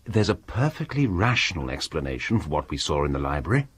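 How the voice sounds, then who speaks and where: An actor playing a rational, composed scholarly gentleman in a radio drama, speaking politely and formally. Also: a bass voice, very animated, fairly quick, breathy edge, dry recording, full character voice. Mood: reasoning.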